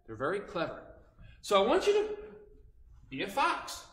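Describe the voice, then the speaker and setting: A man working as a children's storyteller, animated and instructive, pausing between phrases as he invites listeners to act along. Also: high-pitched. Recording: echoey room.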